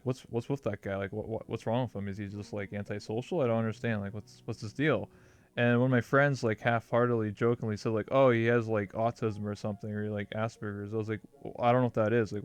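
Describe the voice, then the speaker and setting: A man's voice: fairly low pitch, fairly even intonation, fast talker, clean tone. A young man hosting an interview, telling a story casually and rambling, with "like" in nearly every phrase. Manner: rambling storyteller, heavy use of "like". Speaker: interview host. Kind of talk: casual chat.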